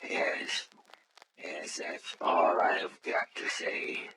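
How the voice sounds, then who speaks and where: A noisy vintage radio drama recording carries an older businessman. He speaks slowly and formally, halting as he humbly tries to make amends. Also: deep voice, level tone. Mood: contrite, halting. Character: contrite, hesitant older businessman making amends. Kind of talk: old-time radio drama acting.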